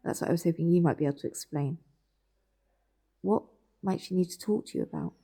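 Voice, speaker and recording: Worried british woman in an audio drama, keeps pressing for answers, soft mellow tone. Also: lowish voice, lively intonation, a little fast, slightly rough. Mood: concerned, probing. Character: anxious, insistent woman questioning her relatives in hospital. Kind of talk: naturalistic audio drama acting.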